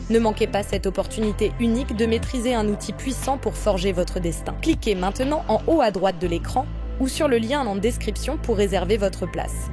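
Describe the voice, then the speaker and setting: A woman reading a scripted advertisement in French, in a polished promotional manner. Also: mid-range voice. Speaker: promo voice-over announcer. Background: music.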